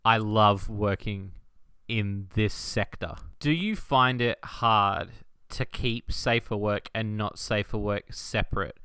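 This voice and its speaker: A man's voice: fairly low pitch, takes it slowly, bright, forceful tone, smooth, no echo, clean studio sound. A man hosting a casual interview, feeling his way through sentences and repeating words as he thinks. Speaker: interviewer, podcast host.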